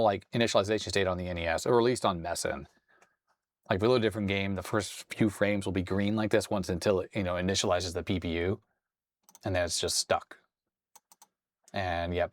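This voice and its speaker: Slightly hoarse man thinking aloud. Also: on the low side, dry recording, studio quality. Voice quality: raspy. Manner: thinking aloud, tentative and self-deprecating. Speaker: host. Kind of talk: casual hands-on tech walkthrough.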